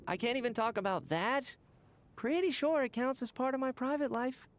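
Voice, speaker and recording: Talkative polite official from a video game, easygoing, theatrical, pitch all over the place. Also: male voice, a little hiss or hum. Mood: flustered. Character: chatty, easygoing, polite male energy official. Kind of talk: video game character dialogue.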